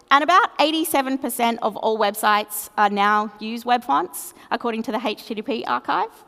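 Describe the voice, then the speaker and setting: A woman's voice: a little high, a bit bright, big-room sound. A woman with an Australian accent giving a conference talk, chatty and self-deprecating, with plenty of fillers. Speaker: conference speaker. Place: large conference hall.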